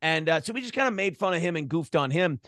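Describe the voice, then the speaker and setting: A man's voice: on the high side, fast talker, no room sound, clean studio sound. A man being interviewed in a casual conversation, telling stories in a joking, profane way. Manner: animated storytelling, profane, joking. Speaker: interview guest, broadcaster.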